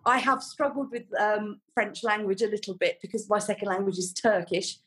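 A middle-aged woman talks easily as an interview guest, warm and rambling, with many ums and soft laughs. Her voice sits at an everyday middle pitch. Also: normal speed. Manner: chatty and warm, with frequent ums and light laughs. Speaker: podcast guest, flower farmer. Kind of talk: casual interview chat.

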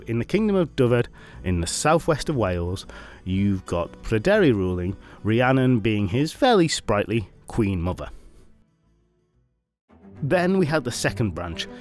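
A man's voice: medium pitch, a little mellow. A man hosting a podcast, retelling an old myth in a chatty way with wry, playful asides. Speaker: podcast host and storyteller.

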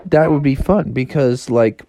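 A man's voice: medium pitch, a little slow, background noise, close to an everyday voice. A man voicing a friendly, laid-back frog in a children's story, drawling in surfer-dude slang. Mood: friendly, helpful.

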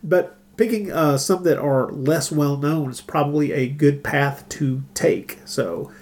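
A man hosting a podcast in casual discussion, thinking aloud in a halting way. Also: fairly high pitch, a little mellow. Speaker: podcast host.

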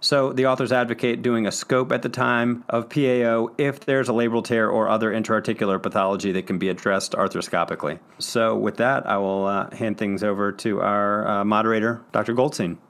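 A man's voice: lowish voice, fairly even intonation, brisk, hardly any pauses, fairly bright tone. Man hosting a medical podcast. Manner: introduces guests, reads abstract summaries. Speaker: podcast host.

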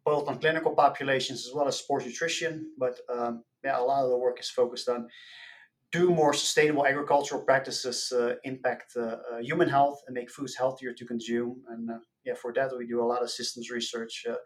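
A man from the Netherlands, an academic guest explaining things casually and haltingly in an interview. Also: mid-range voice, steady tone. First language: Dutch. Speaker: podcast guest, nutrition professor.

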